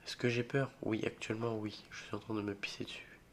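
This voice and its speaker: A young man talks his way through a horror game with a head cold that roughens his fairly low, gravelly voice. He jokes, mutters and swears when startled, admitting he is scared. Faint keyboard clicks and typing sit behind him. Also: little pitch movement, fairly quick, a little hiss or hum. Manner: jokey, easily spooked, mutters reactions and swears. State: has a cold, voice a bit rough. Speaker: gaming video creator. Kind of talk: solo horror game let's play commentary.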